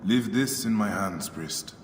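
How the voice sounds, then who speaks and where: Warrior protector, game lead: low, solemn, terse, hall reverb. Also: male voice, slow pace. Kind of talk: dramatic game acting. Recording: hall reverb, faint background noise.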